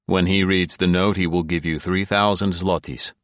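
A male narrator playing a tough, cool-headed American agent who speaks low and deliberately. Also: steady tone, no echo, clean studio sound, natural voice.

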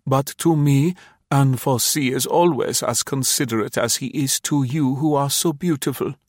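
A man voicing an elderly woman companion who speaks in a slow, reproving way. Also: mid-range voice, darkish tone, dry recording, studio quality, pitched above the performer's own voice, close to an everyday voice. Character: fussy elderly female companion, disapproving.

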